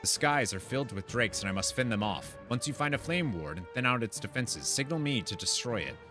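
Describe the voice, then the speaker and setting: A man streaming a video game, chatting in an informal, slangy, expressive way and reading quest text aloud. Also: medium pitch, brisk. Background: game music.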